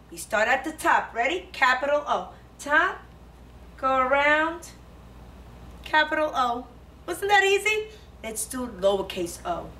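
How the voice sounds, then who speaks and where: A woman, perhaps in her thirties, a preschool teacher talking to small children in an animated, simple way with lots of questions. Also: high-pitched. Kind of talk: children's lesson and read-aloud. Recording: roomy, echoing, distant microphone, faint music.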